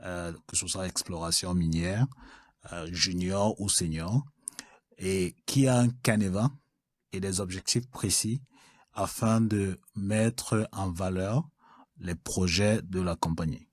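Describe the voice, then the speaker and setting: Guy, really deep voice, measured answers with ums, dry sound. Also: fairly even intonation, dry recording. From Cameroon. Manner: measured, with frequent filler sounds. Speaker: guest, mining executive. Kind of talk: interview answers.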